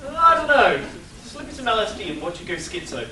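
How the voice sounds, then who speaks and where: A whiny, sarcastic young sidekick from a comic radio play played by a man, leaping up and down in pitch with exasperation. It sounds distant, in an echoing room. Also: big pitch swings, brisk. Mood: sarcastic, bored. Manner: whiny, incredulous, swooping into outbursts. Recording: roomy, distant live stage capture, faint noise.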